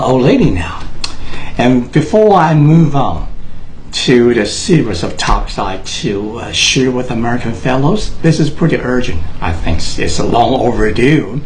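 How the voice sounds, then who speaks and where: Earnest Taiwanese man, halting speech. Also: medium pitch, a little hiss or hum. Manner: earnest, halting, many fillers and restarts. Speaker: speaker addressing Americans. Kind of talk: unscripted personal address to camera.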